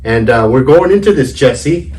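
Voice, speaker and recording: Hoarse, playful male podcast co-host. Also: medium pitch, expressive, moderate pace, a little mellow, distant mic. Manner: playful, laughs often, says "dude" a lot. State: losing his voice, sore throat. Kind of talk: casual chat. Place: studio office.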